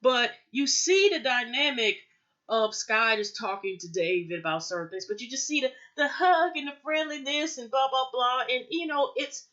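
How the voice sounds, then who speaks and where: A woman's voice: high-pitched, expressive, moderate pace, sharp tone, muffled recording. A woman recapping a TV episode in a loose, rambling way, with lots of fillers and restarts. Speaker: TV show reviewer.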